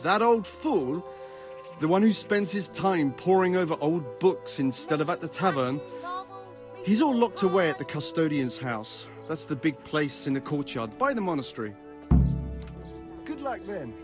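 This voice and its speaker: Video game townsman: cheeky, dismissive gossip, scoffing and lively in pitch. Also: normal speed, music in the background. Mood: scornful. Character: cheeky, dismissive medieval townsman mocking a bookish elder. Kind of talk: scripted medieval video game dialogue.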